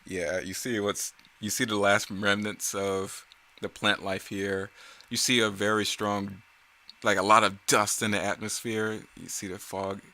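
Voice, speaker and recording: A man's voice: on the low side, level tone, a little slow, sharp tone. A man giving casual, off-the-cuff creator commentary in a rambling, self-correcting way. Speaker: creator giving commentary on own motion comic series.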